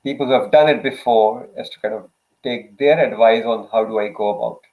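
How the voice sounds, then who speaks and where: A man's voice: medium pitch, fairly quick. A man whose first language is Urdu, speaking as a guest in a casual interview, hesitant with many fillers and restarts. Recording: tinny phone-like line.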